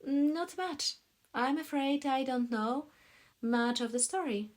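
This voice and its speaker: A woman's voice: sits high, slow, pitched above the performer's own voice. A woman voicing an emphatic, slightly defensive woman answering questions in an audiobook. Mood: hesitant, recalling.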